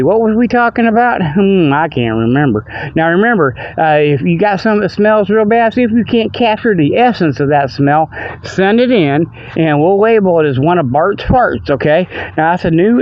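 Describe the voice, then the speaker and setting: A man's voice: high-pitched, no room sound, muffled recording. An older man hosting a hobby radio show, rambling in a folksy, joking, meandering way. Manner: folksy, meandering, chuckles at own jokes. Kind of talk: rambling casual monologue, joking. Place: outdoors by a river.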